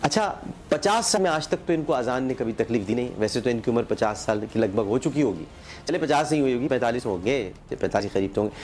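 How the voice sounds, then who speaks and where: A man's voice: medium pitch, a little mellow, slight background noise. Pakistani man in an accusatory monologue. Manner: rhetorical, accusatory, emphatic. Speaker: talk show host. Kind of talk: polemical TV monologue to camera.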